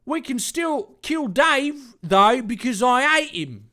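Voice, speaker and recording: A man voicing a scheming, hateful male villain in a put-on Cockney accent, loud and stumbling over words. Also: very high voice, animated, slow, pitched above the performer's own voice, full character voice.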